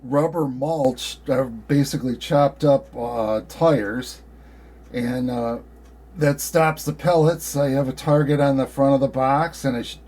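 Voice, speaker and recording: A man with an American accent chatting casually and conversationally, in a somewhat halting way. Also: mid-range voice, a little mellow. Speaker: amateur radio operator. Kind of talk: casual chat.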